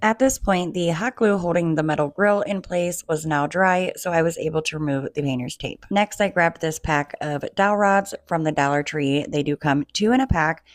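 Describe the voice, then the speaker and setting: A woman with a low voice talks viewers through a craft project, chatty and relaxed, often calling them "you guys". She is coming down with a cold and her voice is fading. The sound is dry and close, with no room echo. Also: moderate pace, hardly any pauses. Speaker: DIY home decor creator. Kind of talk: casual craft tutorial narration.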